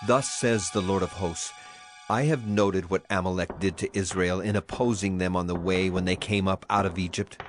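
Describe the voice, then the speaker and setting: An actor playing a grave, authoritative prophet in a dramatized audio Bible, pronouncing commands solemnly. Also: male voice, low voice, steady tone, moderate pace, lightly acted. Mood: grave, commanding. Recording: music under the voice.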